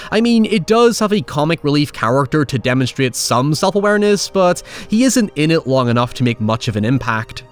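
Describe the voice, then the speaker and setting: A man narrating a scripted, opinionated video essay as its host, with wry, sardonic asides and casual swearing. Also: a little high. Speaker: host of a film retrospective show.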